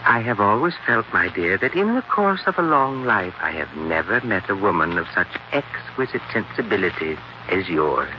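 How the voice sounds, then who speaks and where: An actor playing a courtly, coldly sinister husband with an oily, overly courteous manner in an old radio drama. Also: male voice, on the low side, sing-song, normal speed, hardly any pauses. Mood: oily, soothing. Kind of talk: 1940s radio acting. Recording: noisy, muffled 1940s radio recording.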